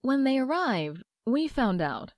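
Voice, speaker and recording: Woman doing a wry, wistful young narrator with a lively, animated pitch. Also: slow, no room sound, studio quality, exaggerated voice. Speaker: narrator of her own past. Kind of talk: comic dub narration.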